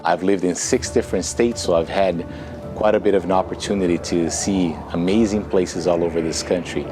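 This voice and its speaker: Brazilian guy in his 30s, low voice, reflective and earnest promo story, music playing clearly behind. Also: fairly even intonation, moderate pace. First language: Portuguese. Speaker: author and entrepreneur promoting a book. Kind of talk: earnest personal testimonial.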